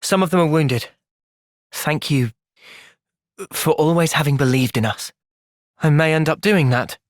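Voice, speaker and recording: An actor playing a thoughtful, gentle but resolute young male soldier in a video game, with a British accent and a sincere, measured delivery. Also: a little high, no room sound, clean studio sound, close to an everyday voice. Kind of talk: video game cutscene voice acting.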